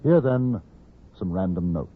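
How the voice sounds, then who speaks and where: An actor playing an urbane English newspaper correspondent in the Wild West, measured and articulate, in a 1950s radio drama. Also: male voice, deep voice, expressive. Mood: grave, measured. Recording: muffled, band-limited vintage radio broadcast.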